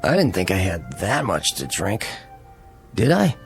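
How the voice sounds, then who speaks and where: A man's voice: low voice, wildly swooping pitch, unhurried, vocal fry, faint music behind, exaggerated voice. An American man playing an irritable, hungover young husband in a radio drama, groaning and grumbling with sardonic remarks. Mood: groggy, sheepish.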